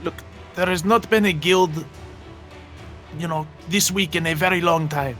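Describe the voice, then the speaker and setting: A man playing a protective, reluctant guild leader in improvised game roleplay, instructing in a measured, slightly theatrical way. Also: on the high side, fairly even intonation, a bit bright. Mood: firm, reassuring. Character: protective, commanding male guild mentor with reluctant authority. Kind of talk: improvised Minecraft roleplay acting. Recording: background music under voices.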